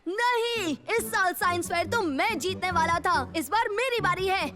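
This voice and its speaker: A woman's voice: extremely high pitch, a little slow. A woman voicing a competitive, huffy young boy in a cartoon, childlike and emphatic. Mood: eager, resentful. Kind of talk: animated cartoon dubbing. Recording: loud music under the voice. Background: music.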